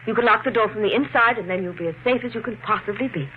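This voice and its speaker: A woman's voice: mid-range voice, racing, close to an everyday voice. Troubled wife played by a woman, earnest melodramatic delivery, narrow muffled old-radio sound with faint hiss. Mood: reassuring. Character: anxious, doubting wife of a suspected killer. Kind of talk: 1950s radio thriller acting. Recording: muffled, phone-like 1950s radio, faint noise.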